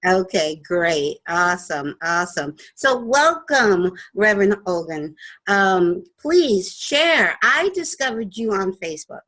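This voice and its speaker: A woman's voice: mid-range voice, animated, slow, a bit bright. A woman hosting an online radio show, earnest and enthusiastic as she opens the show and welcomes her guest. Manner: earnest and enthusiastic, with scripted-sounding openings.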